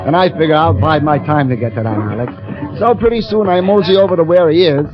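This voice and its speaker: An actor playing an eager, boastful young elevator operator who gets easily flustered, in 1940s radio style. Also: male voice, a little high, a little fast, natural voice. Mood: wounded, scheming. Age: young adult. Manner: earnest bragging, stammering when flustered. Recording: muffled old radio broadcast with music.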